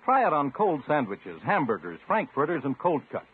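A man's voice: a little high, huge pitch swings, moderate pace, slightly rough. A man reading a scripted 1950s radio advertisement as a commercial announcer. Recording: tinny, muffled 1950s radio recording.

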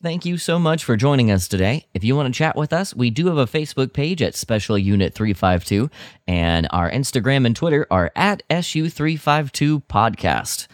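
A man's voice: mid-range voice, very animated, normal speed, no echo, studio quality. A man co-hosting a podcast in casual chat, speaking emphatically and with enthusiasm. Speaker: podcast co-host.

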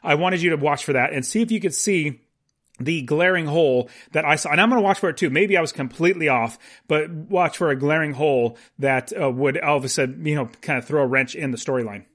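A man's voice: a little high, fairly quick, a bit bright. A man co-hosting a casual movie podcast, speaking informally and repeating words for emphasis. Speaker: podcast co-host.

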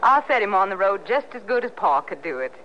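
A dull old radio recording with faint noise features a plucky frontier daughter. Her delivery is lively and very expressive, plain-spoken and earnest, with a rural Western American accent. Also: mid-range voice, brisk. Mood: chiding, cheerful.

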